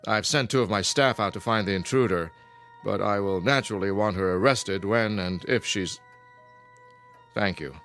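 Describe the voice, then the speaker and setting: Low-voiced, stern wealthy father. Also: normal speed, a bit bright, soft background music. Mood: brisk, indignant. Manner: formal, authoritative. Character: stern, wealthy, protective father of a grown daughter. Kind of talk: radio drama acting.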